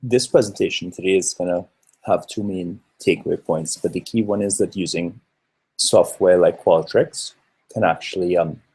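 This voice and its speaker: A man's voice: deep voice, a little mellow, husky, low-quality recording. A man in his thirties from Trinidad giving an earnest, explanatory conference presentation with occasional fillers. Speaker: researcher presenting a talk.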